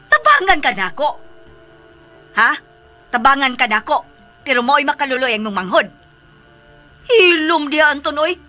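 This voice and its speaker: Sharp, scolding, exasperated mother. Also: high voice, sing-song, moderate pace, long pauses, soft background music, full character voice. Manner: emphatic, nagging, sighing interjections. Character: scolding, exasperated mother of two grown sons. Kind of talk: radio drama acting.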